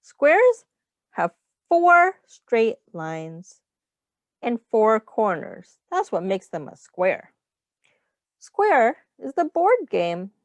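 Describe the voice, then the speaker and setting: A woman teacher reading a picture book aloud to young children, explaining things in simple phrases and pausing to ask questions. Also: on the high side, wildly swooping pitch, no room sound, highs cut off.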